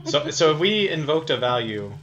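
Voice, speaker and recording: A man playing a tabletop game, chatting casually in an animated, joking way. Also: a little high, normal speed, muffled recording. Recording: clearly noisy background.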